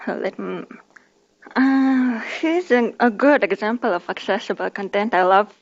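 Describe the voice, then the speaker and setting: A woman whose first language is Kazakh and who speaks English with a Kazakh and Russian accent. She was born deaf and gives a conference talk in careful, halting speech with many pauses and restarts. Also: mid-range voice, lo-fi sound. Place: conference hall.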